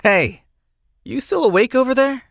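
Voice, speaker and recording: A man's voice: extremely high pitch, expressive, moderate pace, gravelly, over music, heavily acted. An actor playing a chatty, idealistic young nobleman in a video game, breezy and theatrical in manner. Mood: casual concern.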